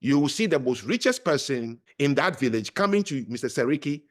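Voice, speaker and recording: A middle-aged man talks as a podcast guest. His delivery is lively and swings widely in pitch. He leans on words for emphasis, the way a storyteller does. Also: a little fast. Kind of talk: conversational interview.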